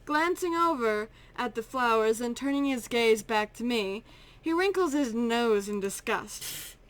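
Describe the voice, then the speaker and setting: A woman narrating a story aloud in an amateur, halting way, correcting misread words as she goes. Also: on the high side, animated, low-quality recording.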